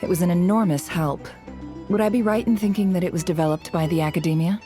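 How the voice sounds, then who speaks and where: A woman playing a shrewd, polite intelligence operative in a video game, measured and knowing. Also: fairly low pitch, dark tone, soft background music, lightly acted. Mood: courteous. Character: composed, shrewd woman spymaster, politely calculating. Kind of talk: video game cutscene acting.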